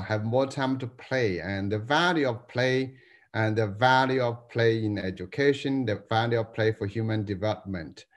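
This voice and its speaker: An older man hosting an online talk show, introducing guests with lively emphasis and fillers. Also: medium pitch. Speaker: host. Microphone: video call webcam.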